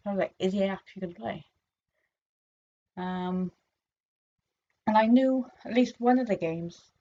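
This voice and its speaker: A woman from England chatting casually to camera in a vlog, her throat a little sore and hoarse, rambling with lots of ums and restarts. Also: lowish voice, darkish tone, dull audio. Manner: rambling, many fillers and restarts. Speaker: vlogger.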